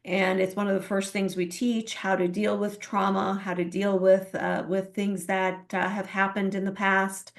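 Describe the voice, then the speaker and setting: Woman from Iran, coach and interview guest; gravelly, earnest, halting with fillers. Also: medium pitch, flat delivery. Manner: earnest, many fillers and restarts. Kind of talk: interview answers.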